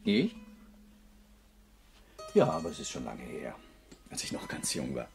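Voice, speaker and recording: A man's voice: rumbling low, wildly swooping pitch, unhurried, creaky, heavily acted. An actor playing a brooding, lovesick and awkward man in a radio play, hesitant and poetic. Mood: earnest. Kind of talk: German radio play acting. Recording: guitar music behind the voice.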